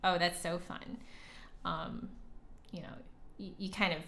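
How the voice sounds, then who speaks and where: A woman chatting casually as a livestream host and flute teacher, conversational, with hesitant pauses and emphatic repeats. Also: lowish voice, little pitch movement, gravelly, sharp tone, a little hiss or hum. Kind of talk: casual livestream chat, answering viewer comments.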